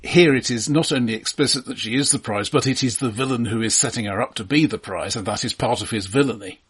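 A man co-hosting a film podcast, discussing in a casual but measured, analytical way. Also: medium pitch, a bit bright, slightly rough.

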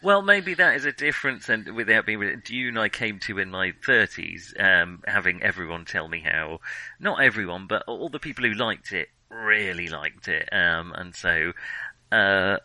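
A man's voice: lowish voice, animated, normal speed, sharp tone, no echo, low-quality recording. A man co-hosting a casual film podcast, speaking tentatively and in a conciliatory way. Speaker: podcast co-host.

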